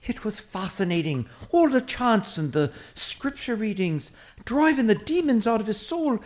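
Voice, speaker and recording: A woman voicing a chatty, excitable Irish priest, a short man who rambles eagerly in an Irish accent in a comic audio drama. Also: fairly high pitch, normal speed. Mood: rambling, curious. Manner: rambling, eager, easily distracted.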